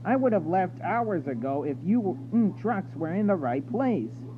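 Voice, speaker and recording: A man's voice: sits high, very animated, normal speed, music in the background, voice pushed up. Man voicing a grumpy, blustering barge, phone-like sound. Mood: indignant. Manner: shouting, complaining, sneering. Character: disagreeable, bad-tempered, complaining old barge. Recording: narrow phone-like sound with loud noise and hum.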